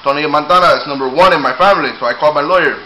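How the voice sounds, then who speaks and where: A man's voice: on the high side, rapid-fire. A man from Cuba, telling a rambling, profane comic story and slipping words into his English. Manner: animated, profane, drops into Spanish words. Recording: echoey room with faint noise.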